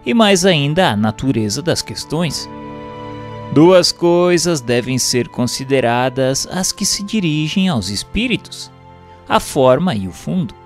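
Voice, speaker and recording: A male narrator delivers a formal, didactic reading in measured phrases. His voice sits a little high and moves with lively, varied intonation. Soft music plays behind him. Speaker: narrator reading a spiritist text. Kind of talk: scripted narration, audiobook-like reading.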